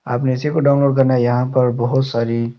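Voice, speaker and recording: A man's voice: mid-range voice, flat delivery, brisk. A man hosting a tech channel, narrating an app tutorial in an instructional way to his viewers. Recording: muffled, dull lo-fi voice-over.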